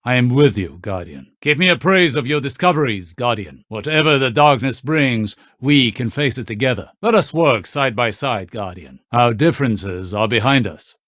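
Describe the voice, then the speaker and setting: A scholarly, authoritative mentor from a video game, voiced by a man. He speaks in short, measured, formal lines at a middle pitch, with a very dry studio sound. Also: expressive, a bit bright, dry recording, lightly acted. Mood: warm, solemn. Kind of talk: scripted game character barks.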